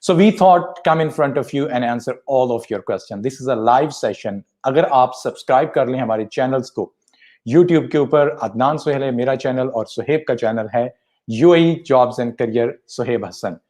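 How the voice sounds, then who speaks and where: A man's voice: medium pitch, a little mellow. A man, hosting a live career talk show from an office and mixing with English. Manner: rapid, switches between Urdu and English. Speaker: host. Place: office with big windows, indoors.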